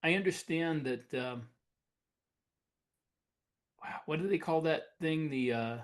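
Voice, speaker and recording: A man co-hosting a podcast, talking casually and conversationally with halting, searching phrasing. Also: on the high side. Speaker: podcast co-host, science fiction author.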